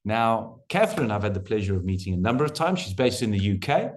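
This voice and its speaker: A man's voice: on the low side, quick pace. A man leads an online workshop as coach, and his voice rises and falls a lot as he drives each point home. He talks over a video call in an emphatic, repetitive lecturing style. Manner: animated, emphatic lecturing with repeated phrases.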